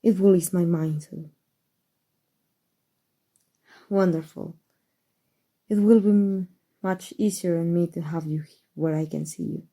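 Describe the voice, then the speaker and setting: A woman playing a powerful, aristocratic vampire in an ASMR roleplay, with formal, measured, protective speech. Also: fairly low pitch, fairly even intonation, mellow. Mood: protective, tender.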